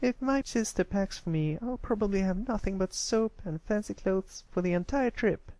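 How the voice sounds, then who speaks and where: Chirpy little pony filly, male reader acting, high-pitched, sweet, bubbly, excitable cartoon character. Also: moderate pace, dry recording, lightly acted, rough recording. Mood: cheerful.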